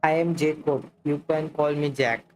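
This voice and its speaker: A man's voice: fairly high pitch, little pitch movement, moderate pace, darkish tone. A man with an Indian accent chatting casually as he streams a game live.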